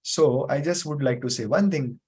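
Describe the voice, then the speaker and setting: A man's voice: medium pitch, normal speed, a little mellow, breathy edge. A man teaching a live online memory class over a video call, patiently explaining and repeating points with frequent fillers. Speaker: memory trainer.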